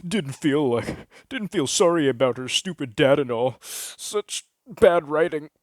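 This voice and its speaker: A man plays a petulant gamer in a story, griping in outrage. The voice is pushed up high and strained, in drawn-out words. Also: expressive, dry recording, studio quality. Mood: bitter griping. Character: whining, outraged male gamers complaining bitterly. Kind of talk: acted story dialogue.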